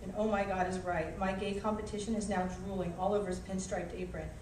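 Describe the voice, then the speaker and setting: A woman's voice: medium pitch, level tone, fairly quick, a little mellow. A woman reading a humorous personal essay aloud with a wry, self-deprecating manner. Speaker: author reading aloud, flight attendant. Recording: echoey room, distant mic, faint noise.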